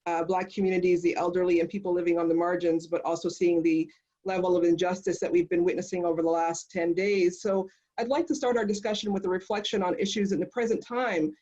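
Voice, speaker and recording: A woman's voice: on the low side, flat delivery, fast talker, mellow. A woman moderating a panel discussion in a conversational way over a video call. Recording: muffled video call audio.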